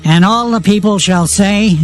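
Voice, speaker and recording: High-pitched man narrating scripture. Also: a little slow. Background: music.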